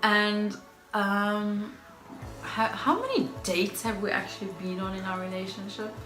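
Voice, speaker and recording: A woman with a nasal, congested voice chatting casually on a couple's vlog, measured and careful to qualify what she says. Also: medium pitch, lively intonation. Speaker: couple vlogger. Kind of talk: casual chat. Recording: echoey room with background music.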